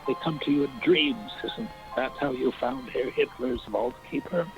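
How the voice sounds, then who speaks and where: An actor playing a suave, menacing fat millionaire crime boss in a 1940s film noir style, with formal, insinuating phrasing. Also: male voice, mid-range voice, normal speed, muffled recording, natural voice. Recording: band-limited old film sound with music.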